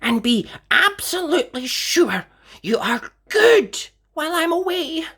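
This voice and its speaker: A woman's voice: high-pitched, big pitch swings, measured pace, fairly bright tone, pitched above the performer's own voice, heavily acted. A woman voicing an exasperated, fussy storybook lady who scolds and complains dramatically. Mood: pleading warning.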